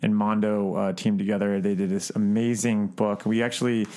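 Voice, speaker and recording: A man co-hosting a podcast in casual chat, with a halting, rambling way of talking. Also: on the low side, level tone, warm tone. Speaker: podcast co-host. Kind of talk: casual chat about books, films and collectibles.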